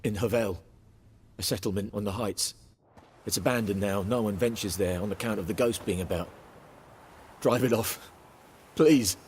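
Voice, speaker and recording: An actor playing an anxious, superstitious village father in a video game, with a husky voice and rustic, earnest phrasing. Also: lowish voice, normal speed, pauses often, a little mellow, long reverb tail, slight background noise, lightly acted. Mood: pleading.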